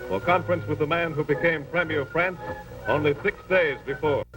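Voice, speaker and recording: A man's voice: a little high, brisk. A man reading a scripted 1940s newsreel narration in a solemn, dramatic, declamatory manner. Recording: old 1940s newsreel soundtrack, distant, loud music.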